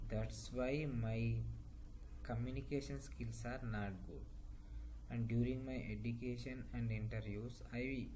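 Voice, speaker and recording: A young Indian man speaks slowly and deliberately into a laptop webcam, with pauses between phrases and now and then a repeated word. His voice sits at a mid pitch for a man. A steady hum of room noise runs clearly under the whole recording. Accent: Indian English. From Hyderabad, India. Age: young adult. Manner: slow, careful speech with pauses and occasional repeated words. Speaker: testimonial speaker. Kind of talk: personal testimonial to camera. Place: indoor room at home. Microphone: laptop webcam at desk distance. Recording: noisy home webcam recording.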